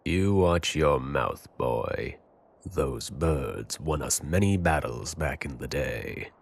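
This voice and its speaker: A man playing a gruff, seasoned anime soldier, grave and loyal, with a husky voice in an audio drama. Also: lively intonation, takes it slowly, exaggerated voice. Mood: gruff banter. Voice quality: husky, very deep.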